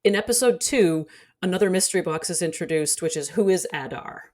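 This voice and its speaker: Woman, low voice, articulate and explanatory, for conversational analytical commentary. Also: a little fast. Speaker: guest scholar and commentator.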